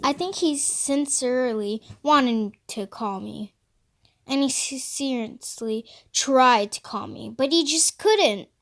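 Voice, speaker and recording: A woman's voice: high voice, slow pace, a bit bright, full character voice. A woman voicing an anxious young teenage girl who reads her diary in a melodramatic, emphatic audiobook style. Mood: worried. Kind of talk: first-person audiobook diary narration.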